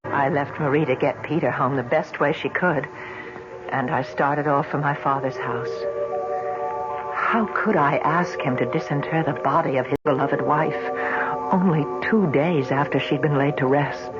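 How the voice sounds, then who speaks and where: A woman's voice: low voice, fairly even intonation, moderate pace, natural voice. Radio drama daughter, played by a woman: troubled, earnest, steadfast. Dull vintage sound. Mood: anguished. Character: troubled adult daughter Una, anguished but steadfast. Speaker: actress narrating and playing the lead. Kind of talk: old-time radio drama acting. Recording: dull vintage radio recording, music underneath.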